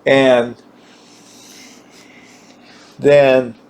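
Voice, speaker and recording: Slow, halting man demonstrating gear. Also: mid-range voice, little pitch movement, darkish tone. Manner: halting, searching for words, repeating himself. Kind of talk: informal hands-on equipment demonstration.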